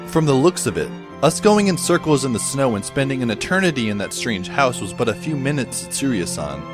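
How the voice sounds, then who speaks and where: Man playing a wry, lively-pitched teenage narrator. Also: fairly quick, music in the background, lightly acted. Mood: wry, puzzled. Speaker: narrator and lead character. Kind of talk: first-person scripted audio drama narration.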